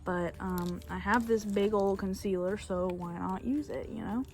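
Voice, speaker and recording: A woman's voice: mid-range voice, normal speed, music in the background. A young woman whose first language is Bulgarian, chatting casually and rambling through a makeup tutorial on a back porch, filmed on a phone. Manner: chatty, rambling, self-deprecating. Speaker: beauty vlogger. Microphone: phone camera.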